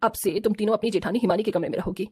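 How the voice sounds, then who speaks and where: A woman's voice: medium pitch, racing, dark tone, husky. A woman playing a stern, righteous mother-in-law in a cartoon family drama, scolding and lecturing in a firm manner.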